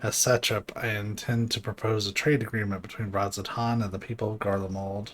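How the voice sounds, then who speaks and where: A man voicing a courteous, dignified ruler in a video game, with formal, archaic, measured diction. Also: lowish voice, flat delivery, soft background music, lightly acted. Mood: formal, earnest.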